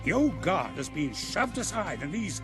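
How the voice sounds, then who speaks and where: A British actor playing an honorable, earnest army officer in a video game, speaking in a stirring, formal way. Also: male voice, high voice, very animated, moderate pace, over music. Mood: earnest resolve. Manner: stirring, formal, stiff-upper-lip. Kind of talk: video game cutscene acting.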